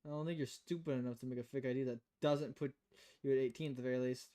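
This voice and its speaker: A woman voicing a stern, world-weary female bartender in a visual novel, deadpan, blunt and measured. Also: extremely low pitch, voice pushed down. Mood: weary, firm.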